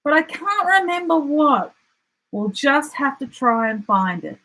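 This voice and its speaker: Storybook granny possum, played by a woman: elderly, doting, high, exclamatory, excitable. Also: unhurried, exaggerated voice, low-quality recording. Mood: excited. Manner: exclamatory and emphatic. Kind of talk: children's storybook character voice.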